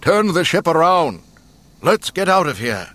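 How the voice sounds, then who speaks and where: Man voicing a grave, measured old mentor. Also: lowish voice, huge pitch swings, breathy edge, exaggerated voice. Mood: urgent shouting. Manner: slow, measured, firm. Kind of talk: audiobook character acting.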